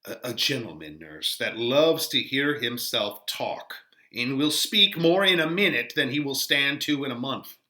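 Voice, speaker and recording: Man reading as a courteous young gentleman, bright-voiced. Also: mid-range voice, lively intonation, measured pace, few pauses. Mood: dry, placating. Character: courteous young gentleman, a smooth-talking lover. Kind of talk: dramatic Shakespeare reading.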